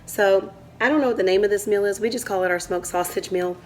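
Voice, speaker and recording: A woman with a hoarse voice from a cold, casually walking through a home cooking recipe step by step in her kitchen as the channel's host. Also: mid-range voice, fast talker, darkish tone, a little hiss or hum. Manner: chatty, explains step by step.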